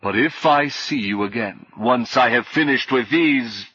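A man's voice: medium pitch, measured pace, sharp tone, breathy edge, dry recording, highs cut off. A man voicing a stern, pompous, menacing knight in an audiobook, imperious and declamatory with archaic phrasing. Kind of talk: audiobook character acting.

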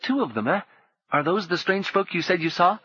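A man's voice: lively intonation, fairly quick, bright, forceful tone, husky, no echo, dull audio, exaggerated voice. A man voicing a scrappy young boy who talks in cocky slang, bold but frightened. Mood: thoughtful. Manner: high, cocky slang-tinged talk.

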